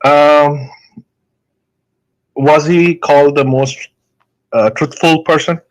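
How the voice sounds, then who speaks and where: A man's voice: fairly high pitch, fairly even intonation, takes it slowly, lo-fi sound. A man with an Indian accent, a doctor in a live debate, explaining his points hesitantly with many fillers and restarts.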